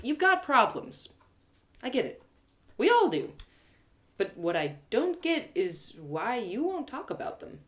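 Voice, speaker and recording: Woman playing an insistent, animated young adventurer heroine. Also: on the high side, unhurried, long pauses, voice pushed up, exaggerated voice. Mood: probing. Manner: insistent, colloquial, exasperated. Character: Allie, eager young woman adventurer, pushy and sarcastic. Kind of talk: first-person fantasy audio drama reading.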